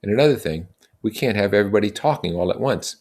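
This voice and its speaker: A man's voice: lowish voice, animated, fast talker, darkish tone, pitched above the performer's own voice. A man voicing an earnest, reasonable boy leader who declares things in short, plain statements, in a storybook reading. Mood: firm, explaining.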